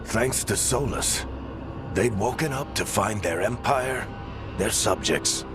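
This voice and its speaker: An actor voicing a solemn, ominous storyteller who narrates a dark fantasy game. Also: male voice, lowish voice, expressive, takes it slowly, over music. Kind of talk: dramatic scripted game narration.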